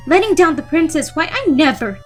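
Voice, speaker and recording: A woman voicing a stern, gruff female pony librarian with a secret soft side and a blunt, folksy manner in a cartoon comic dub. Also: sits high, very animated, a little fast, soft background music. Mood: stern, scolding.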